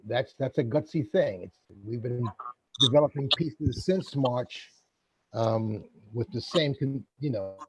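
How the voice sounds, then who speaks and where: A man in the audience of an online session giving informal, halting feedback. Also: mid-range voice, noisy background.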